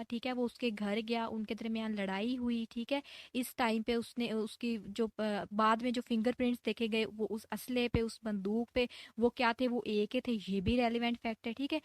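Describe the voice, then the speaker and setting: A woman's voice: a little high, dry recording. A woman lecturing on law in a didactic way, reading out definitions and explaining them, often asking and answering her own questions with frequent check-in tags.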